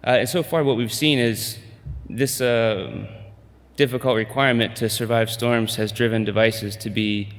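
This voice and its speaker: A man in middle age giving a conference pitch, hesitant with many fillers and restarts. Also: fairly even intonation, normal speed, long reverb tail. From United States. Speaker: company presenter.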